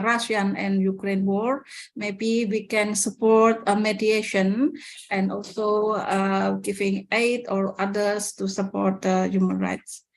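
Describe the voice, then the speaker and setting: Slow, halting Indonesian-accented woman. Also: medium pitch, gravelly, poor audio. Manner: hesitant, halting, frequent pauses. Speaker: university lecturer, webinar panelist. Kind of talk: answering a question in a webinar. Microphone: video call.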